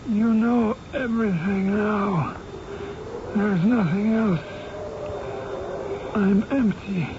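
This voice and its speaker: Radio drama casting: a half-dead, delirious professor. Weak, pleading, painfully slow, on a muffled, noisy recording. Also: male voice, high voice. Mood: dazed, empty. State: weak, dazed, distressed. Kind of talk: old-time radio drama acting.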